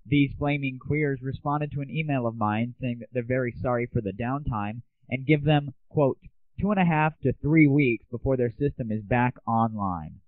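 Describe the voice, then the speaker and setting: A man's voice: medium pitch, dry recording. Young man, tinny lo-fi show host. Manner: sarcastic, cocky. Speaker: host of an internet audio show. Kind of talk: scripted comic monologue. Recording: tinny, band-limited lo-fi audio.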